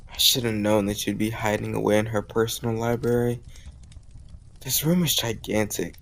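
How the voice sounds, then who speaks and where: A man acting a warm, teasing young suitor in a romantic audio roleplay, talking casually and intimately to the listener. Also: lowish voice, natural voice. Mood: teasing, fond. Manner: casual, gently teasing, conversational with soft chuckles.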